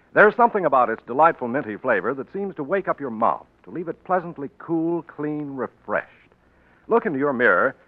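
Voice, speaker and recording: A man announces on 1940s radio with a polished, formal sales delivery. His voice is fairly high and animated. The sound is dry and very tinny, like an old broadcast. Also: no echo. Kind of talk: 1940s radio announcing, scripted sponsor read. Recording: tinny, narrow-band vintage radio broadcast.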